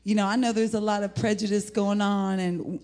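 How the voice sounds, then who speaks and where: A middle-aged woman with an American accent and a husky, gravelly voice, preaching an informal, rambling sermon in an animated, folksy way. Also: medium pitch, fairly quick. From United States (Indianapolis, Indiana). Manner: animated, rambling, folksy, breaking into laughter and exclamations. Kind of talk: informal sermon with personal testimony.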